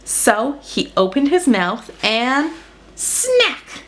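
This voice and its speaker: A woman's voice: sits high, huge pitch swings, drawn-out words, fairly bright tone. A woman reading a children's picture book aloud as a storyteller, with dramatic emphasis on action words. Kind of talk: expressive children's story narration.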